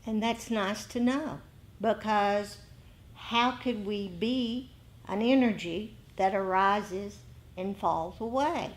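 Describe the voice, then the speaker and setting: Slow-paced woman teaching meditation. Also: mid-range voice. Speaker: meditation teacher giving a dharma talk. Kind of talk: informal spoken dharma lesson to a group. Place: indoor group meeting.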